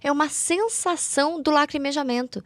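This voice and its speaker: A woman's voice: high-pitched, normal speed, no room sound. A Brazilian woman, a guest eye doctor on a podcast, explaining conditions conversationally, step by step in everyday words.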